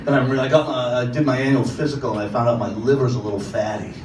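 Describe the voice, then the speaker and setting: A man's voice: mid-range voice, fairly even intonation, rapid-fire. An older man from doing a profane stand-up set with crowd work at a comedy club. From Boston, Massachusetts. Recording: roomy club audio, mic far off, loud crowd laughter. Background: loud audience laughter and club noise.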